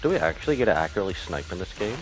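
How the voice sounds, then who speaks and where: A man with a lowish, very lively voice commentates casually on a live game stream. He thinks aloud in informal phrases, with clear game music behind him. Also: very fast. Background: video game music.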